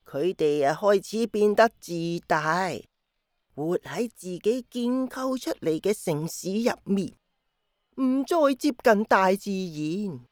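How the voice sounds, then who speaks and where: A woman playing a gentle, wistful old grandmother in a radio drama, patiently explaining with sighs. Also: mid-range voice, expressive, no room sound, studio quality. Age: older. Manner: slow, sighing, patient explaining. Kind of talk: Cantonese radio drama acting.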